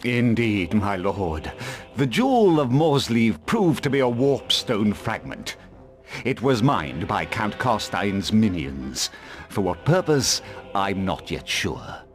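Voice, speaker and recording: A grim witch hunter from a fantasy game. He speaks slowly, with extremely wide swings of pitch. The delivery is irritable and dramatic, with music clearly behind it. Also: very animated. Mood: formal report. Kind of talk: fantasy video game cutscene acting.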